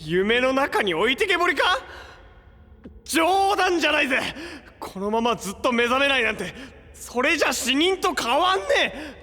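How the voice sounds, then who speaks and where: A man's voice: very high voice, very animated, normal speed, pushed and bright, exaggerated voice. A man playing a brash, hot-blooded young superhero in a Japanese audio drama, with cocky, slangy, exclamatory delivery. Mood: bewildered, frustrated. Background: prominent music.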